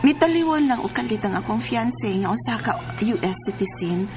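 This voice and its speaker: Actress doing a distraught young cebuano filipino woman, radio soap style, really high voice, music behind. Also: expressive, normal speed, lightly acted. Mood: earnest, troubled. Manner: melodramatic, emotional. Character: distraught pregnant young woman bereaved of her fiancé. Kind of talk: Bisaya radio drama acting.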